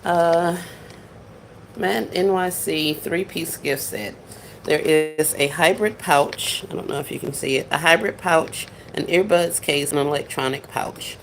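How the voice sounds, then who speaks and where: Woman, low voice, gravelly, soft-spoken livestream chat, noisy phone recording. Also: measured pace. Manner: soft-spoken, chatty, talks to viewers while working. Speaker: livestream host making gift baskets. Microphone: phone set down while working.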